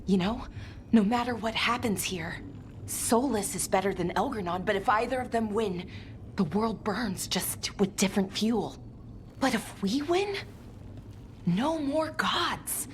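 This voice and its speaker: A woman voicing a gentle, hopeful young female adventurer in a fantasy video game, sincere and a little hesitant. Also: a little high, a little hiss or hum. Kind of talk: video game character acting.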